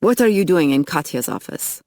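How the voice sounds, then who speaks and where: A woman voicing a gruff, lonely male vampire henchman with a Russian accent and blunt speech in an audiobook. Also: low voice, big pitch swings, normal speed, dark tone, no room sound, clean studio sound. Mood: suspicious.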